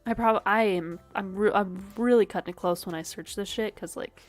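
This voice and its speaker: A woman's voice: medium pitch, normal speed, soft background music. A woman streamer with a sniffly, dry throat, casually thinking aloud and swearing while she plays a game. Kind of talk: casual game livestream commentary.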